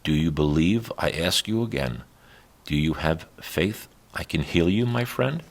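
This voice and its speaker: Man as a gentle, low-voiced wise holy man. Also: expressive, unhurried, vocal fry, slight background noise, heavily acted. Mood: reassuring, earnest. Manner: soft, kindly, solemn.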